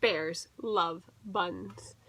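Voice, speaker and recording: A woman's voice: on the low side, drawn-out words, a bit bright, no echo, rough recording. A woman teacher reading a picture book aloud to young children, expressively and with little explanatory asides.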